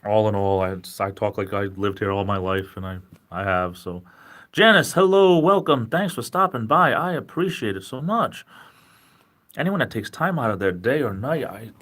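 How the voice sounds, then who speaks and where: A man with a Rhode Island accent and a fairly low voice, chatting into his webcam from home. He rambles in a friendly way and pokes fun at himself as he answers viewers. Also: expressive, normal speed, a bit bright. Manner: rambling, self-deprecating, chatty. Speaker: livestream host. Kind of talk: casual livestream chat.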